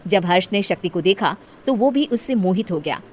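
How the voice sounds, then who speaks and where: A woman storyteller reading scripted narration for an animated tale. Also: mid-range voice, moderate pace, a little hiss or hum. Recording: music behind the voice.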